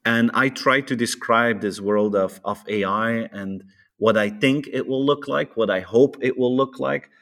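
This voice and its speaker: A man's voice: mid-range voice, measured pace, fairly bright tone. A man with a Dutch accent, a native Dutch speaker, chatting casually and eagerly, often repeating words as he starts a thought and adding "huh?" tags. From Europe. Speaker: author and podcast guest. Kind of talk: casual conversation.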